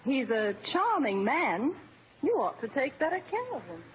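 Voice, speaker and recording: Swooping, high-voiced flirt. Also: female voice, big pitch swings, soft background music. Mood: coy, smug. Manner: gushing, drawn-out, theatrical. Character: gushing, flirtatious, giggly woman admirer. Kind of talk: old-time radio comedy acting. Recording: muffled old radio recording, faint noise.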